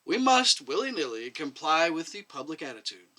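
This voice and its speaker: Man playing a wry, bright-voiced middle-aged doctor. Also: fairly high pitch, sing-song. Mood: wry, insistent. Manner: wry, deliberate, formal.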